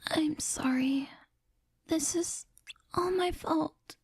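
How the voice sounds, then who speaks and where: A woman playing a timid, guilt-ridden girl in a game fandub, breathy and hesitant. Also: high voice, very slow, no echo, exaggerated voice. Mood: sad, apologetic.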